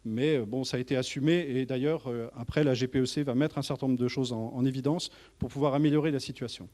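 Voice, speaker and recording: Man, mellow and soft-edged tone, informal work presentation, hesitant delivery, restarts his phrases. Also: mid-range voice, fairly even intonation, fast. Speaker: tourist office manager presenting. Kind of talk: informal professional presentation to colleagues.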